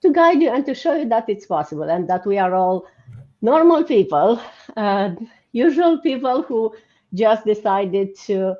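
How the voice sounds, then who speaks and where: A woman with a Romanian accent hosts an informal livestream. Her pitch is mid-range and her manner is encouraging. The audio is a little dull and band-limited. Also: very animated, a little slow, a little mellow. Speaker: host. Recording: muffled, band-limited online stream audio.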